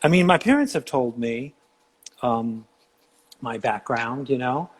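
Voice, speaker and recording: Mid-pitched man musing on a livestream. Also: measured pace, husky. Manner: musing, rambling, with many fillers. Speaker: host. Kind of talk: casual livestream interview. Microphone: video call livestream.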